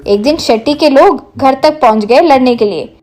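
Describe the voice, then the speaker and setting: A woman's voice: high-pitched, normal speed, darkish tone. A woman reading a scripted story as its narrator.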